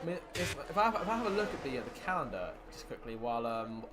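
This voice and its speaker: A man commentating a sim racing league, calling the action excitedly while his voice is wearing thin. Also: medium pitch, fast talker. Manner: excited play-by-play, rising with the action. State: losing his voice. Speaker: lead commentator.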